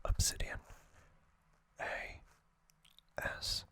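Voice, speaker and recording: A man hosting an ASMR series, narrating trivia in a breathy, unvoiced whisper with deliberate short phrases and pauses. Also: sharp tone, no echo.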